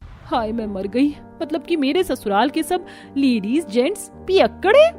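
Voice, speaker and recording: Woman playing young cartoon daughter-in-law, high voice, well-meaning but fed up. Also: sing-song, a little slow, darkish tone, faint music behind. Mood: shocked.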